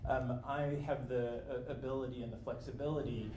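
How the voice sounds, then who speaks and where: A man's voice: medium pitch, steady tone, dark tone. Thoughtful male correspondent in echoey hall. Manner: thoughtful, many restarts, slows down on serious points. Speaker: foreign correspondent on a panel. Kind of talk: panel answer, reflective storytelling. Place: convention meeting room. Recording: echoey room with background noise.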